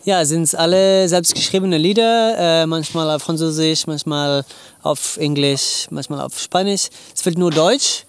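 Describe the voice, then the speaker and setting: A Frenchman with a French accent, a band's violinist and singer, chatting in an interview, pausing often and searching for words. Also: a little high, clean tone. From France. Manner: hesitant, many fillers, searching for words. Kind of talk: casual interview chat.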